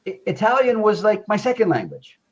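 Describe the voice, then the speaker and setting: A man's voice: high voice, wildly swooping pitch, brisk. A man with a slightly raised voice, coaching an English learner in easygoing chat. He keeps encouraging her and repeats key phrases for emphasis. His voice comes through dull, lo-fi video call audio. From Canada. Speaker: English tutor. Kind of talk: casual conversation lesson.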